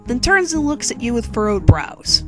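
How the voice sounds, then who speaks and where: A woman's voice: fairly high pitch, very animated, moderate pace. A woman giving a dramatic comic story reading as narrator, playful and wry, speaking straight to the listener. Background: faint music.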